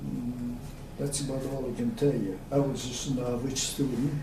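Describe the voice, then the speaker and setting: A man, recalling his life in an oral history interview, slow and hesitant with many pauses. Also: mid-range voice, fairly even intonation. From Austria. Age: 90s. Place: room at a community center. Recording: echoey room, distant mic, faint hiss.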